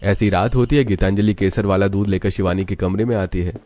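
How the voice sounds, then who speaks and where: A man's voice: sits low, steady tone, brisk, dark tone, soft background music. A man narrating a scripted story in the style of a storybook.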